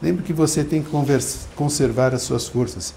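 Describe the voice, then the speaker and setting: A man reading aloud and voicing a relaxed uncle who talks in a breezy, reassuring way. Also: on the high side, moderate pace, creaky, a little hiss or hum. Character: man, an easygoing drinking uncle.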